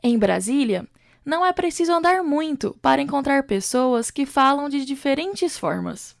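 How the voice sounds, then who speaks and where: A woman with a Brazilian Portuguese accent, a student reporter, reading a scripted news voice-over in a measured, clear way. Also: sits high, lively intonation, dry recording, clean studio sound, faint music behind.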